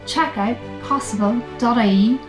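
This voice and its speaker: A woman's voice: on the high side, moderate pace. Polished woman announcer over music. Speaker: show intro announcer. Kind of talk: scripted promo read. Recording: echoey room with music bed.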